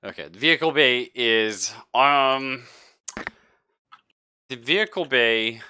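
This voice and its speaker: A man's voice: mid-range voice, slow, bright, forceful tone, clear, resonant tone. A man running a tabletop roleplaying game as game master, talking casually and joking with a lot of laughter. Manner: jokey, laughs often.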